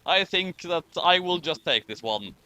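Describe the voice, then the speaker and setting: A man with a high, bright voice reads a story aloud and comments as he goes. He is animated and mock-dramatic, with an exasperated amusement, and sounds close and dry. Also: measured pace, no room sound. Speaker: reader and commentator of a fan fiction.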